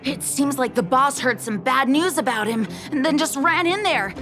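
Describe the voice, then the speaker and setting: A woman voicing a bossy, excitable young boy in a kids' gang, theatrical and self-important, for a video game. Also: high-pitched, normal speed, faint music behind. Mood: worried, urgent. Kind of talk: animated video game voice acting.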